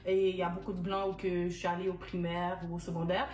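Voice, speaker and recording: A woman's voice: lowish voice, little pitch movement, fast talker. A young woman hosts a casual panel, earnestly asking questions and sharing her own experience. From Quebec. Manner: earnest, asks questions, recounts personal experience. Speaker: talk show host. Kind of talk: moderating a casual discussion. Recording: echoey room, soft music.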